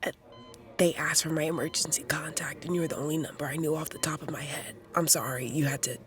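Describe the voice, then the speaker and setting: Low-voiced, halting troubled young woman played by an actress. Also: fairly even intonation, soft background music, close to an everyday voice. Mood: hesitant, tender. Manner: halting, stammering. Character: troubled young woman recovering from overdose, sheepish, wry. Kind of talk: naturalistic audio drama acting.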